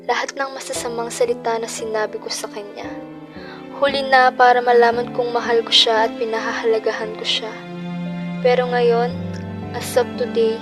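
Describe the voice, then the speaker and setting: A woman plays a grown Filipino daughter reflecting with regret in a radio drama. Her voice is fairly high, with music clearly playing behind it. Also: natural voice. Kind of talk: dramatic first-person radio drama narration.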